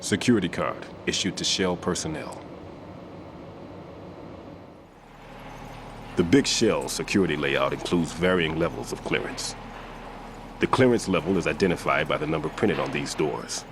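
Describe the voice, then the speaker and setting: A man playing a methodical, somber veteran bomb disposal expert in a video game cutscene, explaining precisely and gravely. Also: very deep voice, fairly quick, noisy background. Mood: instructive, serious. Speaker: demolition expert giving instructions.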